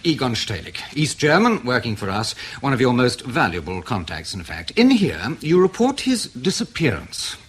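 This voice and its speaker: An actor in radio drama playing an intelligence interrogator in his thirties, measured and probing, polite but insistent. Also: medium pitch, big pitch swings, slight background noise, close to an everyday voice. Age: 30s.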